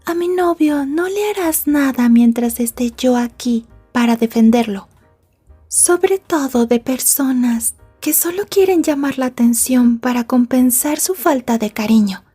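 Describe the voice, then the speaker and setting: A woman playing a sweet, possessive schoolgirl girlfriend in an ASMR roleplay, soft and polite with controlled menace. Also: high voice, measured pace, husky, soft background music. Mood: protective, menacing. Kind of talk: Spanish ASMR roleplay acting.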